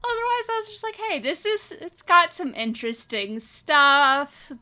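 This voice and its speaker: A woman's voice: high-pitched, sing-song, unhurried, bright, forceful tone. A woman chatting casually as a panelist on a podcast. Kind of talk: casual chat.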